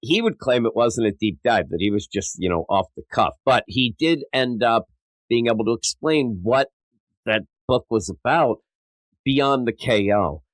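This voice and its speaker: A sick, stuffed-up man hosting a podcast in a rambling, self-mocking way, his voice a little rough and wavering. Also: mid-range voice, expressive, moderate pace, no room sound, poor audio. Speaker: podcast host. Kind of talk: casual podcast monologue.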